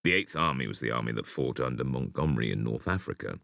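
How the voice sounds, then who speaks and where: Really deep gravelly guy reading an audiobook, deliberate storyteller delivery. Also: lively intonation, a bit bright, no room sound, clean studio sound. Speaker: audiobook reader. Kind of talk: measured audiobook reading of an interview essay.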